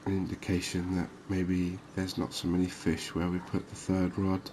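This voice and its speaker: A man giving calm, unhurried ASMR-style commentary on a fishing game, explaining things as he plays. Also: deep voice, a little hiss or hum. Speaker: gaming video host.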